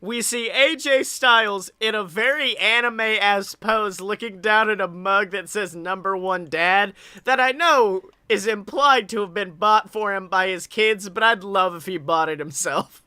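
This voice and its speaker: A man's voice: sits high, measured pace, few pauses, pushed and bright, smooth, dry recording. A young man hosting a podcast with casual, profane banter. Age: young adult. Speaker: podcast host.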